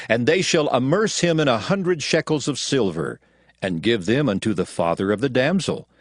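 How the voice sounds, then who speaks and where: A man reading scripture aloud as a narrator, solemn and measured, in a dramatized style. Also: medium pitch, no echo, studio quality. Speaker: Bible narrator.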